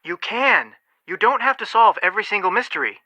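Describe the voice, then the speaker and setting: Careful skeptical young detective dude in a game, really bright forward voice, dry studio sound. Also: male voice, sits high, animated, fairly quick, no room sound, muffled recording, full character voice. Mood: concerned. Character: cautious, level-headed, skeptical young male detective. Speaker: video game character voice actor. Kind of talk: scripted game phone dialogue.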